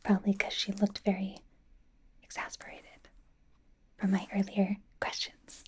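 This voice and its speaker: A woman whispers softly and breathily in an ASMR personal-attention roleplay. She plays a bubbly young idol stylist who dotes on the listener, coaxing and reassuring with playful, chatty asides. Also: medium pitch, completely flat delivery, measured pace. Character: bubbly, doting young female pop idol playing stylist.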